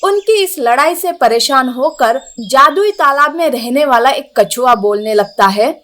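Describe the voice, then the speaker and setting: High-pitched, slow female storyteller. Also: lively intonation, fairly bright tone. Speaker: narrator. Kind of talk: scripted children's story narration.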